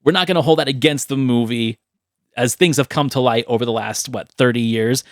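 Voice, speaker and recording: A man's voice: medium pitch, a little fast, no room sound, clean studio sound. A man hosting a movie podcast, talking casually, often ending points with "right?" and swearing now and then. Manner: conversational, tags lines with "right?", casual swearing. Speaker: podcast host.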